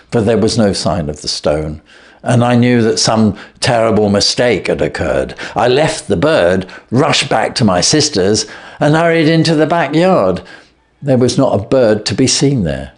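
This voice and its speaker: A man's voice: medium pitch, animated, close to an everyday voice. A man voicing a desperate young thief. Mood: anxious. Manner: desperate, pleading, rushed. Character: frightened young male thief confessing.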